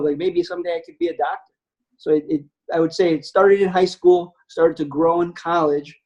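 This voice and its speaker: Casting: man in his forties, fairly high voice, reflective webinar guest, video call audio. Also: vocal fry, darkish tone, lo-fi sound. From United States. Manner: reflective, many fillers. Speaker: anesthesiologist guest. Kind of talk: webinar talk.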